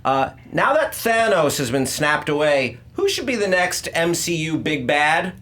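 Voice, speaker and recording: A man hosting and judging an unscripted debate show, speaking in a halting, casual way with informal slang. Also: a little high, big pitch swings, fairly bright tone. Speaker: host and judge of a debate show.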